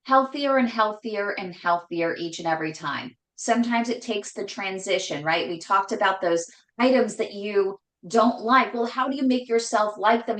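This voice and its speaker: Loud, chatty woman in an echoey kitchen. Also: mid-range voice. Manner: loud voice that carries, chatty and emphatic. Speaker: host cooking on a live stream. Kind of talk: casual cooking chat. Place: home kitchen.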